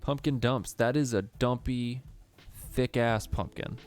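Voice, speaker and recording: A man's voice: mid-range voice, steady tone, unhurried, a little mellow. A man hosting a live game stream, reading prompts aloud with joking asides. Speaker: streamer host. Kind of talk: casual game stream commentary.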